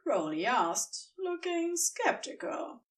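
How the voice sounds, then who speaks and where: A woman's voice: high voice, sing-song, takes it slowly, recorded from a distance. A woman reading scripted story narration as the narrator of an audio fiction piece.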